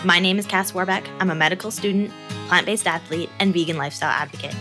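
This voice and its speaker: Upbeat podcast host woman, low voice. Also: quick pace, over music. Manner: upbeat, enthusiastic. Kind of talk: scripted intro then interview.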